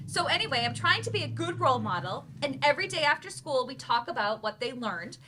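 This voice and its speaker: A woman's voice: high-pitched, sing-song, brisk, pushed and bright, full character voice. An actress in a live play reading, playing an earnest, eager mother in an adult remedial class. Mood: candid, upbeat. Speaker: actor in a staged play reading.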